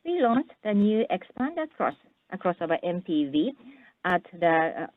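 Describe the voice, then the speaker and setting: A woman with a fairly low voice presents scripted corporate material over a narrow, phone-like line. The sound is dry and close, without room echo. She reads formally and at a measured pace, with the occasional stumble. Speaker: corporate earnings call presenter.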